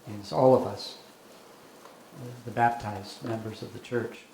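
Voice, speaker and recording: An older man, a bishop, speaking thoughtfully at a lecture with many pauses and fillers. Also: lowish voice, fairly even intonation, a little mellow. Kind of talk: lecture and Q&A remarks. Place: lecture hall. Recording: echoey hall with background noise.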